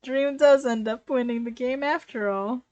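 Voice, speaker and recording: A woman's voice: high-pitched, normal speed, darkish tone, no echo, muffled recording. A woman reading a story aloud informally to friends, giggly and ready to break off and react. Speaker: fan fiction reader.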